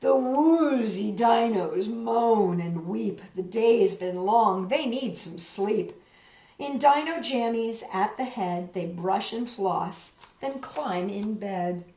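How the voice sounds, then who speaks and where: A woman reading a picture book aloud to children in a measured, expressive way, with emphasized rhymes and friendly asides. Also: medium pitch. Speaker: storytime host reading picture books. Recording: roomy echo, slightly dull sound.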